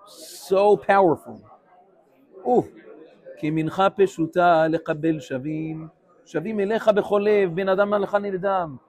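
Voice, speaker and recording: A man leading an informal, animated Torah class, switching between English and Hebrew phrases as he teaches and takes questions. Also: high-pitched.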